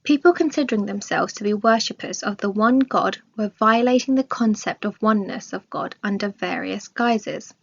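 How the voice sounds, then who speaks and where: A woman reading a scripted religious study aloud in a measured, formal manner. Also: mid-range voice, creaky. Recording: dull, lo-fi recording.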